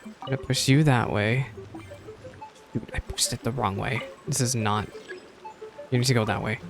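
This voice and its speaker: A male streamer with a middle-pitched voice reacts casually to a game. He thinks aloud in a chatty, playful way. Faint game music sits behind his voice. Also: steady tone, normal speed, vocal fry. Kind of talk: casual live gameplay reaction commentary.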